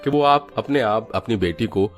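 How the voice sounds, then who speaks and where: A man's voice: fairly low pitch, moderate pace, soft background music. A man reading scripted narration for a children's moral story.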